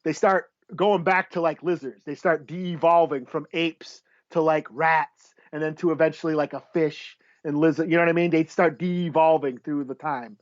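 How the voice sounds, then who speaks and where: A man's voice: a little high, steady tone, moderate pace, no room sound. A man hosting a casual comic book podcast, rambling and sweary as he gropes for names. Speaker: podcast host. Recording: slightly dull, dry lo-fi audio.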